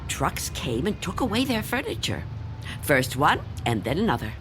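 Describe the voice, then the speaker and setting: An actress playing an anxious, secretive, reclusive spinster in a radio drama, halting and fretful. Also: female voice, deep voice, big pitch swings, over music. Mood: defensive, wistful.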